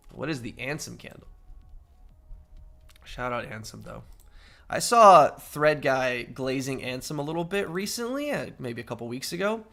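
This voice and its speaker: A man's voice: medium pitch, gravelly, bright, forceful tone. A man hosting a trading livestream, talking through charts in a casual, explanatory way. He often checks in with "right?" and sounds slightly nasal as he gets over a cold. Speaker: crypto trading livestream host. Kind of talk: casual chart analysis with chat.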